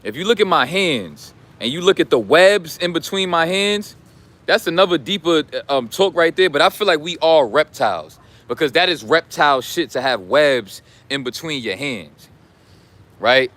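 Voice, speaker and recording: A man's voice: a little high, expressive, normal speed, fairly bright tone. A young man coaching in a casual, blunt, preachy way, full of slang and profanity. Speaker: life coach. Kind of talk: casual Patreon talk.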